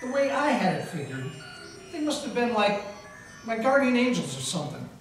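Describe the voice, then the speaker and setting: A wisecracking 1940s gumshoe. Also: male voice, sits high, very animated, normal speed. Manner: wisecracking, hard-boiled. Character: wisecracking male 1940s private eye. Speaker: narrator and lead actor. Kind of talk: 1940s radio acting, live. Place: historic mansion hall, indoors, live audience. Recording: echoey room from far off with music.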